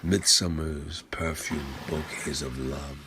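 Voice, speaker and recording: Male poetry reader, extremely low voice, solemn slow delivery, noticeable background noise. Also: steady tone. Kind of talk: slow, solemn poem recitation. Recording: clear ambient noise behind voice.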